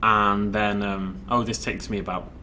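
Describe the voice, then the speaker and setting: A man's voice: on the low side, little pitch movement, a little slow, bright, forceful tone, a little hiss or hum. A man casually rambling while commenting on his own gameplay, chatty and self-deprecating. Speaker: let's play host.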